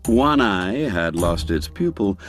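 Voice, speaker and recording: A man narrating a classic literary tale in a theatrical, measured storytelling manner with expressive emphasis. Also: low-pitched, faint music behind.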